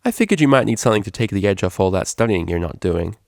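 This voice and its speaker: A man's voice: fairly low pitch, animated, quick pace, vocal fry, a little mellow, no room sound, studio quality. A man voicing a laid-back young female unicorn DJ from a story, casual, slangy and teasing. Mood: teasing, pleased.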